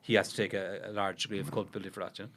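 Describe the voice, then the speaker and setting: A man's voice: low-pitched, level tone, a bit bright. An Irish man co-hosting a history podcast, talking in casual conversation with frequent restarts and fillers. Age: under 50. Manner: fast, halting, many restarts and "you know". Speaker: podcast co-host.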